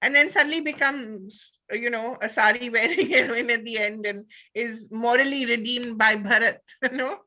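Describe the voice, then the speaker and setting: A woman with an Indian accent speaking as a panelist in an animated discussion, peppering her talk with "you know". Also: on the high side, normal speed, hardly any pauses, dull audio.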